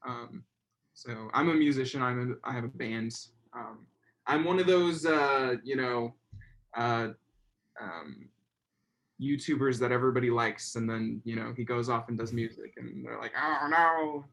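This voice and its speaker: A young man in a relaxed interview, his talk full of pauses and fillers. His voice sits a little high for a man and wanders through restarts as he thinks aloud. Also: gravelly. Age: young adult. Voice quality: fairly high voice. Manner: hesitant, many fillers and restarts. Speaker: guest. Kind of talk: casual interview chat.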